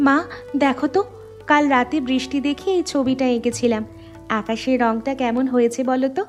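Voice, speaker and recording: A woman's voice: sits high, fairly even intonation, a little fast, faint music behind, close to an everyday voice. A woman voicing an eager, caring young daughter in a cartoon story, earnest and helpful.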